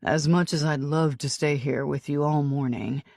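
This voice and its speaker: A woman voicing a commanding, possessive man in an audiobook, speaking in a low, gloating drawl. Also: fairly even intonation, normal speed, vocal fry, darkish tone, no room sound, clean studio sound. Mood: teasing. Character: commanding, possessive grown man, teasing lover. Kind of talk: audiobook character voice.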